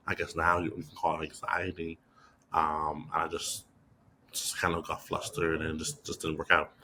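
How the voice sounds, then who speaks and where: Very deep flat-voiced man. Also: vocal fry, slight background noise. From Bahamas. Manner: measured, reflective, with frequent fillers. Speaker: guest, PR agency president. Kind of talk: conversational interview answers.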